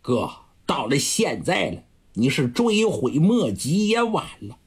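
A man's voice: a little high, sing-song, unhurried, slightly rough, full character voice. A man voicing a level-headed younger brother who talks steadily and persuasively, in a storytelling performance. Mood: urging.

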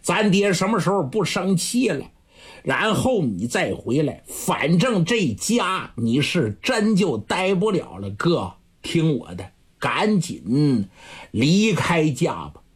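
A man's voice: a little high, sing-song, slow, slightly rough, exaggerated voice. A man voicing a level-headed younger brother who talks steadily and persuasively, in a storytelling performance. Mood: urging.

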